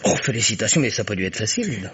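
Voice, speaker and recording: A man's voice: fairly low pitch, expressive, racing, fairly bright tone, husky, heavily acted. An actor playing a weary, anxious man on the run in a French radio mystery, speaking in a hesitant, subdued way. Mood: tense, troubled. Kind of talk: French radio detective drama acting. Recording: dull, noisy old radio recording.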